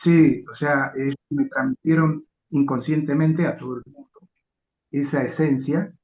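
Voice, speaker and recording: A man's voice: fairly high pitch, steady tone, a little slow. A man from Argentina with an Argentine accent, a guest musician giving brief, agreeable answers in an interview. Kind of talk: interview answers over a call. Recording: tinny phone-like line.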